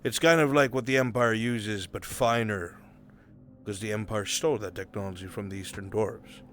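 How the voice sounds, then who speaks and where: A man playing a worldly, sly fantasy adventurer in live roleplay, with deliberate, coarse-mouthed delivery. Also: lowish voice, fairly even intonation, fairly bright tone, slight background noise, lightly acted. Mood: grave storytelling. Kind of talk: live improvised fantasy roleplay in virtual reality. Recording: background music behind the voice.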